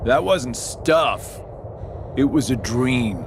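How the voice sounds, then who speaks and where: An actor playing a smug, taunting middle-aged villain with a sardonic, mockingly playful drawl in a video game cutscene. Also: male voice, medium pitch, animated, extremely slow, background noise. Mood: breezy, condescending. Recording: game soundtrack music behind the voice.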